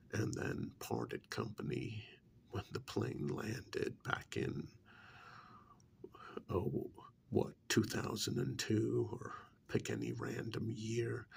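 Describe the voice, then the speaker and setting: A man soft-speaking an ASMR role-play monologue in a breathy, gravelly half-whisper, with deliberate, pointed phrasing and pauses. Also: lowish voice, a little hiss or hum.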